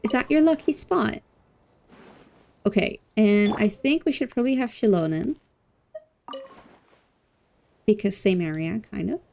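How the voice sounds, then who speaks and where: A woman's voice: medium pitch, animated, moderate pace, creaky, mellow, soft background music. A woman livestreaming a game, chatting casually and playfully with her viewers, repeating words and drawing them out. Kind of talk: casual gaming stream chat with viewers.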